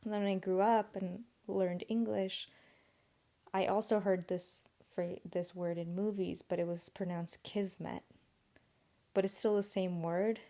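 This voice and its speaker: A woman's voice: mid-range voice, fairly even intonation, unhurried, mellow. A young woman whose first language is Urdu, hosting a solo podcast and thinking aloud in an earnest, rambling way. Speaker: podcast host.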